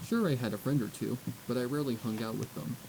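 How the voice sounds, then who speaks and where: A man's voice: medium pitch, quick pace, creaky, very noisy. A man reading a story aloud as an audiobook narrator, in a scripted narration style.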